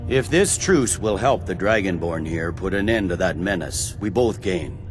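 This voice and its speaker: An actor voicing a stern, impatient middle-aged general in a fantasy game, clipped and commanding. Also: male voice, deep voice, animated, normal speed, music in the background. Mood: measured, grudging. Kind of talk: dramatic fantasy game dialogue.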